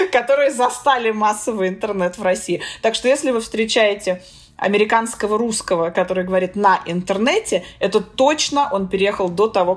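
Woman guest, Russian accent, thoughtful, pauses a lot, laughs easily. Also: medium pitch. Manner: thoughtful, hesitant with many fillers, laughs easily. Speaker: guest, philologist and Russian teacher in the US. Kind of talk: conversational interview answers.

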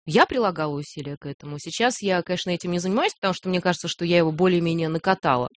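A woman's voice: fairly low pitch, racing. A woman from Novosibirsk, a radio news presenter, chatting as a guest in a radio studio, laughing often and hesitating with fillers. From Novosibirsk, Russia. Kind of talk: casual radio chat.

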